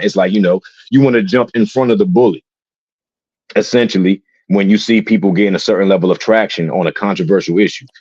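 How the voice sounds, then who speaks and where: Man, very low voice, halting speech with restarts, dull muffled mic, dry sound, casual show talk. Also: a little mellow, slightly rough, dry recording. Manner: halting, with many restarts and fillers.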